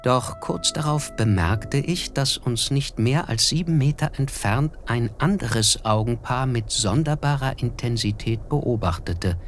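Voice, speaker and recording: An actor voices a thoughtful man narrating his own memories in a radio play. The mid-pitched voice is measured and literary, observant in tone, with clear music underneath. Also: lightly acted. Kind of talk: scripted radio drama narration.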